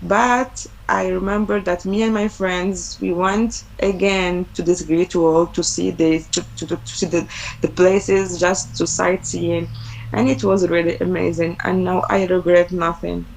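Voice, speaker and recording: A woman's voice: on the low side, flat delivery, unhurried, vocal fry, slight background noise, rough recording. A young Algerian woman, a native Arabic speaker, speaking English as a webinar guest over a video call, hesitant, with many fillers and repeated words. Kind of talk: personal talk on studying abroad.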